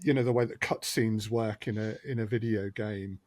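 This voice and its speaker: A man's voice: on the low side, steady tone, normal speed, darkish tone, no room sound. A man who makes audio drama, talking as a podcast guest in casual chat, thoughtful and wry, with hesitant restarts.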